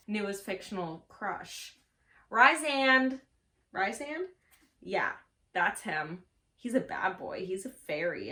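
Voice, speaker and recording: A woman's voice: mid-range voice, a bit bright, slight background noise. A young adult woman vlogging in a casual, gushing chat with offbeat dry asides. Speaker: book vlogger. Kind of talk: casual book chat to camera.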